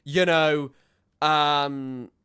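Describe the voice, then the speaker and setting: A man's voice: on the high side, steady tone, extremely slow, pushed and bright, clean tone. A man giving sarcastic, rambling commentary while reacting to a clip, often restarting his sentences. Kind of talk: casual commentary.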